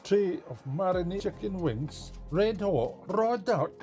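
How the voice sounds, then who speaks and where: A man's voice: high-pitched, expressive, slow, a little mellow, faint music behind. A man playing an outraged, blunt male kitchen inspector in a story, scolding with emphasis. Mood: disgusted.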